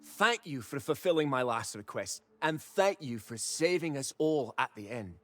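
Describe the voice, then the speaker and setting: Man playing an earnest, expressive fantasy settlement leader. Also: mid-range voice, moderate pace, no echo, natural voice. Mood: grateful, sombre. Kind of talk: dramatic fantasy game acting.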